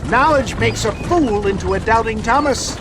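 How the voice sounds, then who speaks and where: Pompous, high-pitched old snake-oil salesman. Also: normal speed, noisy background. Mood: defensive, preachy. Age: older. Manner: florid, pompous, theatrical orator.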